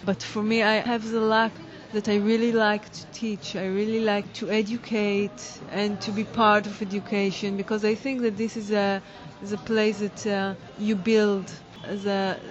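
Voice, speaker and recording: A woman, a dance teacher and choreographer, answering interview questions in halting English as she searches for words. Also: mid-range voice, little pitch movement, lo-fi sound. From Israel.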